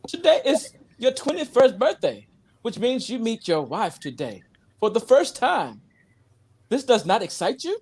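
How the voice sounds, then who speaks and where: A man's voice: very high voice, wildly swooping pitch, unhurried, darkish tone, breathy edge, exaggerated voice. A man playing a formal, deferential servant to a prince in a comedy table read. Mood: eager.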